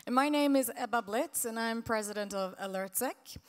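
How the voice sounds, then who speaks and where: A woman from Sweden, giving a wry, self-deprecating startup pitch on a panel. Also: normal speed, clean tone. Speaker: panelist, company president. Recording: echoey conference hall.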